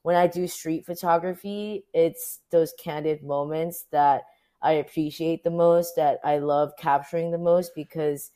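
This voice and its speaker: American lady photographer in an interview, low voice, thinks out loud, says like a lot. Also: fairly even intonation, measured pace. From Orange County, United States. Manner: informal, thinks aloud, frequent "like". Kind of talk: casual conversational interview.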